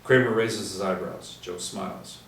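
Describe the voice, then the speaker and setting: A man's voice: deep voice, fairly even intonation, normal speed, a bit bright. A man reading out scripted screenplay action and stage directions as the narrator. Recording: echoey room, distant mic, faint noise.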